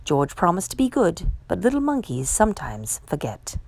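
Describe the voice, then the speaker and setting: A woman reading a children's picture book aloud as a storyteller, with expressive, measured delivery. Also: on the low side, creaky, dry recording. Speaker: storytime reader.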